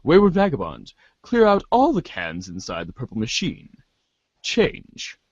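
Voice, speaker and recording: A man's voice: medium pitch, huge pitch swings, unhurried, breathy edge, no echo, dull audio. A man giving an amateur dramatic reading of narration, theatrical in delivery and now and then stumbling over the text. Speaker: narrator reading a webcomic aloud.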